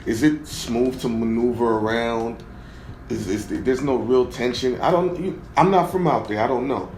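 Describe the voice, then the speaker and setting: A man's voice: medium pitch, moderate pace, darkish tone, echoey room, a little hiss or hum, low-quality recording. A man hosting an interview, asking easygoing questions full of fillers. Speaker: interview host.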